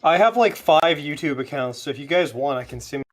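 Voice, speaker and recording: A man's voice: fairly high pitch, lively intonation, fast. A man bantering casually with friends during a tabletop roleplay session. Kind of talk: casual joking group chat.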